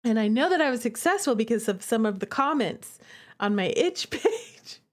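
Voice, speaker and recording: A woman chatting casually on a livestream as a game developer, theatrical and animated, with conversational asides to viewers. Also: fairly high pitch, normal speed. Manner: animated, theatrical, acts out reactions.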